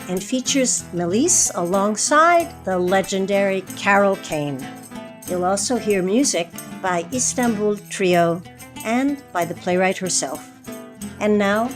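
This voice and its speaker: A woman with a medium-pitched voice narrates an audio drama from a script. Guitar music plays clearly behind her as she announces. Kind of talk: scripted introduction and stage-direction narration.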